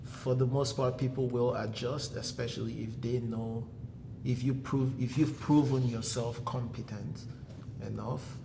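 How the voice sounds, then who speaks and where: A young Nigerian man with an Igbo accent, calmly giving advice as a guest in a casual interview. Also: mid-range voice, unhurried, gravelly, background noise.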